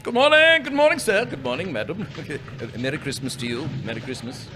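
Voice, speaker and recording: An actor playing a reformed old miser in a live radio play, chuckling and stammering with glee. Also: male voice, a little high, huge pitch swings, fairly quick, music in the background. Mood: giddy.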